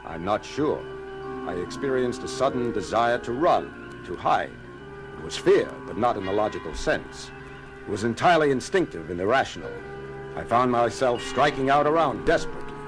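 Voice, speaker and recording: A man's voice: medium pitch, big pitch swings, normal speed, lightly acted. A man playing a logical alien starship science officer, speaking formally and precisely in a science fiction audio drama. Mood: shaken. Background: loud dramatic music.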